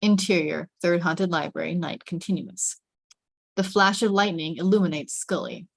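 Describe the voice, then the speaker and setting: A woman's voice: on the low side, normal speed, creaky. A woman narrating the scene and camera directions of a script at a table read. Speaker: stage directions reader.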